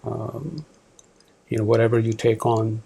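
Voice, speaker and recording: A man in his forties from Pakistan, a department manager answering interview questions in an office, halting with many fillers and restarts. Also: medium pitch, completely flat delivery, creaky, warm tone, slight background noise, poor audio.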